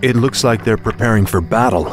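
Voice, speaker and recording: A man playing a bold, impatient young warrior prince in a video game, with a brisk, commanding and earnest manner. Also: fairly low pitch, over music, crowd noise, natural voice. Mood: urgent. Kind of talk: video game drama acting.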